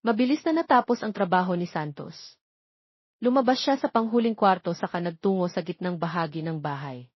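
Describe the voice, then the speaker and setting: A woman's voice: on the low side, animated, fairly quick, husky, no echo. A woman with a Filipino accent reading a scripted romance story as its narrator. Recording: slightly dull lo-fi sound.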